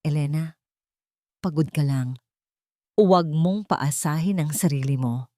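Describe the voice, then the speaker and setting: Woman as a doubtful rich husband, low-voiced. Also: measured pace, dark tone, no room sound, clean studio sound. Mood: weary doubt. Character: doubtful, worried wealthy husband, dismissive.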